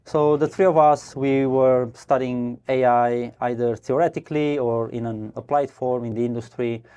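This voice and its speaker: Guy, mellow low-key voice, lots of ums and restarts, chatting as a podcast guest. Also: fairly even intonation, normal speed, clear, resonant tone, muffled recording. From Romania. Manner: hesitant, many fillers and restarts, laughs easily. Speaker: guest, startup co-founder. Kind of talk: casual interview answers. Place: brewery podcast studio.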